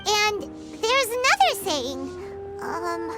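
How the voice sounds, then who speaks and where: A woman's voice: very high voice, very animated, slow, full character voice. A woman voicing a small childlike fairy companion in a video game dub, bubbly, eager and naive, thinking aloud as she talks. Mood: earnest storytelling. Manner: refers to self in third person, thinks aloud. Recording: music playing behind the voice.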